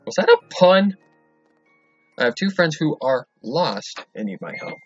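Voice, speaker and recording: A woman's voice: low voice, very animated, unhurried, slightly rough, dry recording. A woman casually reacting to a story she is listening to, blunt and swearing, talking back at it. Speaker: reaction video host. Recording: lo-fi voice with faint music behind.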